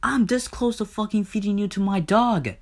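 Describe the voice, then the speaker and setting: A furious god of the underworld acted out by a man in a comic retelling, pitched up from ordinary talk. He swears constantly and hammers his threats home by repeating them. The menace is blunt and exasperated. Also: very animated, normal speed. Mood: enraged, exasperated. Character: enraged, foul-mouthed male god of the underworld, menacing.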